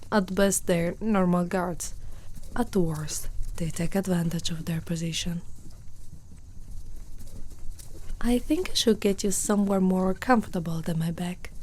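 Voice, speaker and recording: A gentle, curious centaur girl, acted by a woman in an ASMR roleplay. Her voice is mellow and soft, often slipping close to a whisper. She talks tenderly and without hurry to a single listener, with a protective air. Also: lowish voice, a little hiss or hum, close to an everyday voice. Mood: matter-of-fact, protective. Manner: tender, unhurried, speaking closely to one listener.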